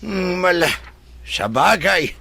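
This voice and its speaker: A man acting a protective, reasonable older father in an Ilocano radio drama, with a husky voice and an Ilocano accent. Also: high voice, big pitch swings, takes it slowly, bright, forceful tone, full character voice. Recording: faint noise behind the voice.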